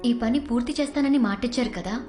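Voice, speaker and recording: A woman's voice: on the high side, quick pace, soft background music, natural voice. A woman playing a devoted young wife in a sentimental film drama, speaking earnestly and tenderly. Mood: earnest, worried. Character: devoted, anxious, proud young wife of an agent. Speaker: film actor. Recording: echoey film audio, soft background score.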